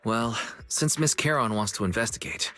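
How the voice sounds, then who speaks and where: A man's voice: mid-range voice, moderate pace, bright, forceful tone, lightly acted. An actor playing an earnest, easygoing young man in a video game, speaking politely and conversationally. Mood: earnest, understanding. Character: kind, earnest young male shopkeeper and secret guide, easygoing. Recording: music under the voice.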